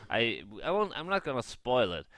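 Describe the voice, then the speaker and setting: A man giving casual, unscripted gaming commentary in a halting way, often rephrasing and correcting himself. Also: medium pitch, huge pitch swings, a bit bright, no room sound, studio quality. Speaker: gaming vlogger.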